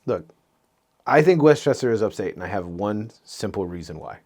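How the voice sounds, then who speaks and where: A man's voice: on the low side, expressive, moderate pace, a little mellow. A young man co-hosting a casual podcast, teasing and argumentative in friendly debate. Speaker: podcast co-host. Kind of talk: casual chat.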